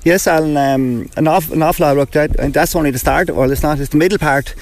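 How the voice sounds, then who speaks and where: A man's voice: on the high side, fast. A man from a farming group, speaking outdoors on a farm, hesitant and full of fillers.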